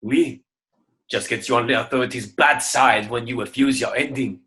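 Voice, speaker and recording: A man's voice: medium pitch, normal speed, sharp tone, lightly acted. A man voicing a proud, grumbling ugly duckling in an irreverent radio play. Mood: defiant, proud. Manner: grumbling, boastful, peppers speech with French. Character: proud, indignant ugly duckling who refuses to be swan.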